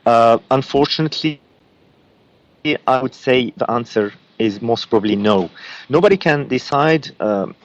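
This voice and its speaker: A man from Lebanon with an Arabic-accented voice, giving psychic readings as a guest and pausing often with fillers. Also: mid-range voice, dull audio. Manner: halting, many uh and um fillers.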